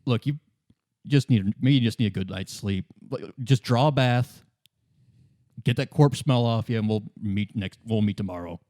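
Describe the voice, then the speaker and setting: A man voicing a calm, methodical male police detective in a tabletop roleplay, dry and matter-of-fact. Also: fairly low pitch, normal speed, no room sound, lightly acted. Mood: quietly concerned.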